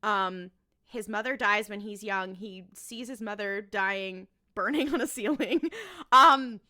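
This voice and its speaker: A woman's voice: mid-range voice, unhurried, sharp tone. A woman on a podcast panel chatting casually as a fan making a case, emphatic and repeating phrases for effect.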